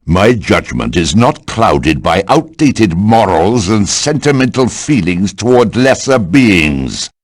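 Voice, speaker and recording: A man voicing a stern older mutant supremacist leader in a video game, lofty, measured and patronizing. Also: on the low side, big pitch swings, breathy edge, no room sound, clean studio sound, heavily acted. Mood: disdainful. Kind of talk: video game villain voice acting.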